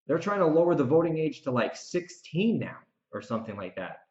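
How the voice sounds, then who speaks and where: A man's voice: a little high, very animated, a little fast, a little mellow, reverberant, dull audio. A man delivering reflective solo podcast commentary, speaking emphatically and repeating phrases to drive points home. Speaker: podcast host and author. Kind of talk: reflective solo recap commentary, part rant.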